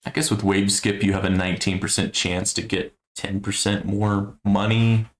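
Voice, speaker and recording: A man giving casual gameplay commentary on a stream, thinking aloud in a low-key, musing way with a gravelly voice. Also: level tone, moderate pace. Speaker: game streamer.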